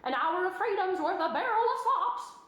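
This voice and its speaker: A very high, shrill and bossy farm goose, honking every order twice as a woman reads her. Her voice leaps wildly up and down and rings out loudly in an echoey room with a faint hiss behind. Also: very animated, moderate pace, heavily acted. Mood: urgent.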